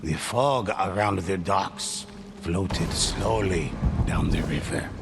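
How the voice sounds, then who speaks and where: A man narrating a dark game story in a grave, measured way. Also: low voice, creaky, very noisy. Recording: music under the voice.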